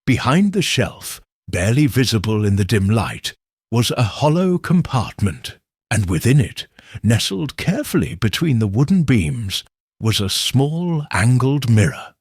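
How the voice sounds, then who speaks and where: A man narrating a detective story in the first person with slow, expressive delivery. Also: mid-range voice, breathy edge, no room sound, clean studio sound. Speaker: audiobook narrator.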